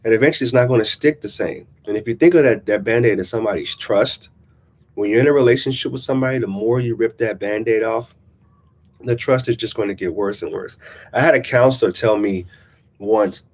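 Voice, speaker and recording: A man's voice: lowish voice, brisk. A man with a congested, nasal voice talking casually and reflectively as a relationship coach in a vlog. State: congested, recovering from a sinus infection. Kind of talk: casual motivational chat, reading out a subscriber email. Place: small-town coffee shop.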